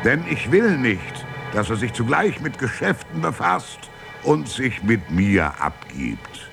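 An actor playing Petrarch, a proud and reflective medieval scholar-poet, delivering a measured, rhetorical radio-play monologue. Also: male voice, mid-range voice, big pitch swings, vocal fry, music in the background, full character voice. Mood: imperious, demanding. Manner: measured, deliberate, rhetorical, with emphatic swells and hushed endings. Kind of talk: solemn literary radio monologue, declaimed.

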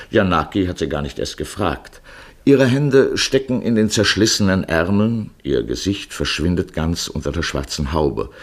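Male narrator for literary radio drama: low, rough-edged voice, measured scripted delivery.

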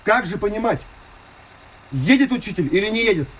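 Obliging village driver, noisy crickets. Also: male voice, sits high, animated, brisk. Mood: patient, obliging. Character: easygoing, deferential village bus driver. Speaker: actor. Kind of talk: radio play acting.

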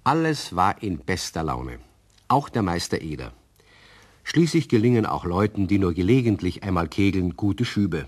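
A man narrating a children's radio play as a calm, explaining storyteller with a husky voice. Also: on the low side.

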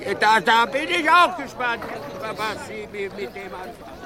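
A man's voice: high voice, animated, moderate pace, a crowd in the background. An actor playing a muddled, meek old sea captain in a radio play, hesitant and stammering as he echoes others. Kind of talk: German radio play acting.

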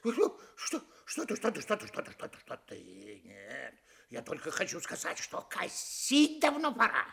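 An actor playing a miserly, exasperated farm master in a fairy-tale audio play, spluttering as he feigns calm. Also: high-pitched, a little slow, gravelly, breathy edge, exaggerated voice. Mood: flustered, placating. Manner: spluttering, stammering when flustered.

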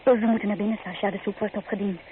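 A woman playing an excitable, proud young engaged granddaughter in a radio play, gushing and coaxing. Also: medium pitch, very fast, natural voice. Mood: bright, admiring. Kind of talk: Dutch radio play acting. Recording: tinny old radio recording with hiss.

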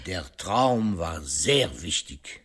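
An actor playing a shrewd, self-satisfied detective in a German radio crime drama, courteous and deliberate in his questioning. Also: sits low, big pitch swings, fairly bright tone, slightly rough, exaggerated voice. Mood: confident, explanatory.

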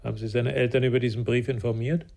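An actor playing a calm, probing psychotherapist turned investigator in a restrained radio play. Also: male voice, medium pitch, fast, creaky, dry recording. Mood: calm, inquiring. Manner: calmly questioning.

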